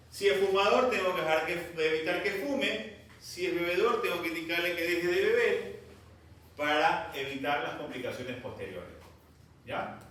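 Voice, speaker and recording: A man's voice: fairly high pitch, fairly bright tone, a little hiss or hum. Man, stern lecturer, roomy classroom, distant mic. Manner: stern, scolding. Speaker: medical lecturer. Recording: echoey classroom from far off.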